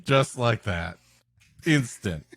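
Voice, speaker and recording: A man of medium pitch delivers sarcastic, mock-serious comic riffs. His intonation swings freely in a casual co-host style, and the sound is dry. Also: expressive, slow, fairly bright tone, no room sound, faint music behind. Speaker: podcast co-host. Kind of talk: comic banter and improvised riffs.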